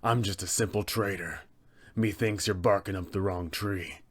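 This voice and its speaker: The hushed, husky stranger at a frozen caravan in a fantasy tabletop game, a cold undead killer speaking low and slowly, acted out by a man. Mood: evasive, calm. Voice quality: low, breathy. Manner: slow, hushed, measured threats. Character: cold, calm, menacing male undead killer posing as trader. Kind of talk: villain monologue for a tabletop roleplaying game.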